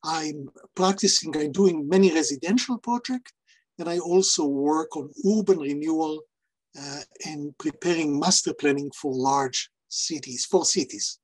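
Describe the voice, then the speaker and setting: Older man, Israeli accent, husky tone, halting speech, home office webcam. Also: fairly high pitch, poor audio. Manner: halting, searching for words, slightly broken English. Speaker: guest speaker, architecture professor. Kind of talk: personal talk on a panel. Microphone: video call webcam.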